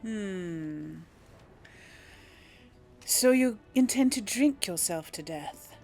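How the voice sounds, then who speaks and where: A woman's voice: medium pitch, expressive, extremely slow, darkish tone. A woman hosting a casual role-play let's play, chatting and reacting aloud as she plays. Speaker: gaming host, role player. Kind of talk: casual let's play commentary with in-character dialogue. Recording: game music behind the voice.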